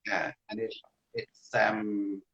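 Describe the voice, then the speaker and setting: Low-pitched Irish man in a roomy space. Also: completely flat delivery, fairly bright tone. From Ireland. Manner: hesitant, thoughtful, pausing to rephrase. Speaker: guest, English teacher. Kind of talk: casual interview chat. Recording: roomy, slightly dull sound.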